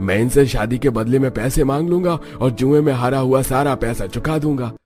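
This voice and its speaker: A man's voice: mid-range voice, normal speed, a little mellow, faint music behind, pitched above the performer's own voice, close to an everyday voice. A man voicing a poor, greedy gambler father in a flustered, incredulous manner. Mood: scheming.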